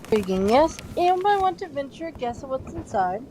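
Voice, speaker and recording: A woman's voice: high-pitched, very animated, a little fast, warm tone, slight background noise. A woman playing a courteous, encouraging male professor instructing a class in an audio drama. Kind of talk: fan audio drama acting.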